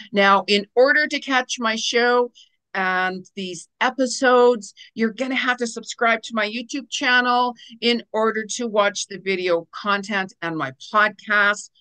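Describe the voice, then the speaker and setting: A woman's voice: mid-range voice, measured pace, pushed and bright. A woman hosting an interview podcast, introducing her show and guest in an enthusiastic, promotional way. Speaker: podcast host.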